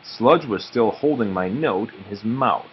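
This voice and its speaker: Animated man reading a children's storybook. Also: fairly low pitch, a little slow. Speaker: read-aloud narrator. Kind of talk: expressive children's storybook narration. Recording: muffled audio with faint background noise.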